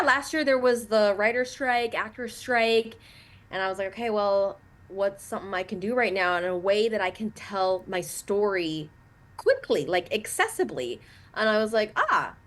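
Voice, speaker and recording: Chatty young woman, lots of likes. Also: mid-range voice. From Canada. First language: Mandarin. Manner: chatty, animated, many likes and ums. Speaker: guest, actress and comedian. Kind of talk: casual interview chat.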